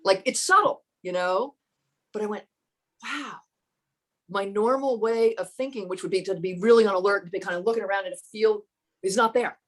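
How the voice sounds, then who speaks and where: A woman with a fairly low voice speaks as a podcast guest, thinking aloud and circling back on her words. She is earnest and unhurried and leans into the points she cares about. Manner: thoughtful, halting, with many restarts. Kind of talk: reflective personal storytelling.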